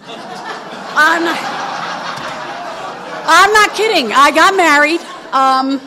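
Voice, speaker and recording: A woman's voice: high voice, drawn-out words, crowd noise, background noise. Candid woman in her sixties telling her story. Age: 60s. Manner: candid, rambling storytelling with frequent fillers. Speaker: recovery meeting speaker. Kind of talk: personal testimony to an audience.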